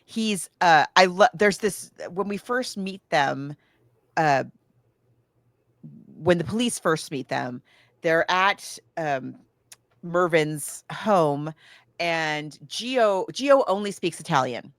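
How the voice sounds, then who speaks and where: A woman's voice: lowish voice, lively intonation, slow pace, dry recording, studio quality. An American woman co-hosting a podcast, chatting casually as she retells a film and slips into acting out lines. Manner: chatty, acts out quoted lines. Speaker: podcast co-host.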